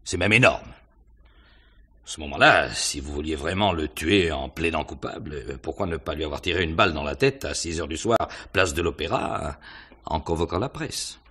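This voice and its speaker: A police inspector from an old-fashioned radio mystery. His voice is very low and rough-edged. He questions courteously and deliberately, musing aloud with small hesitations as he probes. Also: lively intonation, pushed and bright, full character voice. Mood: musing, ironic. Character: courteous, probing male police inspector on a murder case. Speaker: radio drama actor. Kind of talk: French mystery radio drama acting, 1950s manner.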